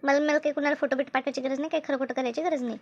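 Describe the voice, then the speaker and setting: A woman's voice: high-pitched, steady tone, racing, highs cut off. A woman voicing a hard-bargaining village mother in a comedy cartoon, argumentative, firm and chatty. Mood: firm haggling. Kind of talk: Marathi comedy cartoon dub.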